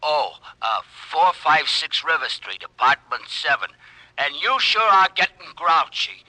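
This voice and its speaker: Dim, put-upon radio cop, lively pitch. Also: male voice, measured pace. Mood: dim, sulky. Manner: hesitant, plodding. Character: slow-witted, put-upon male police desk sergeant. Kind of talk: 1950s radio comic-relief acting. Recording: noisy, crackly old radio recording.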